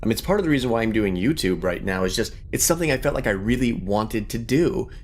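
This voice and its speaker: A man's voice: fairly low pitch, lively intonation, quick pace, slight background noise. A middle-aged man giving casual, reflective gaming commentary in an earnest, rambling way. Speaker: gaming YouTuber.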